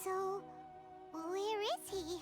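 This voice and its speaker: A woman voicing a tiny, excitable, childlike companion creature in an anime-style video game, squeaky and full of wide-eyed curiosity. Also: drawn-out words, full character voice. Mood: curious, surprised. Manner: exclamatory, wide-eyed, simple curious questions. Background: game music.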